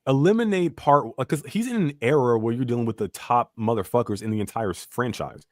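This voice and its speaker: Male podcast panelist, mid-pitched and very dry, argumentative, emphatic, slangy fan talk. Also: expressive, brisk, dry recording. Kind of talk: casual fan debate about anime.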